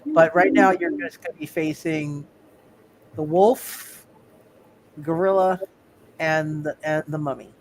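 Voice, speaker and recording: Male game master, high voice, casual halting narration with repeated phrases, slightly noisy recording. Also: steady tone. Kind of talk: live tabletop roleplay narration, casual.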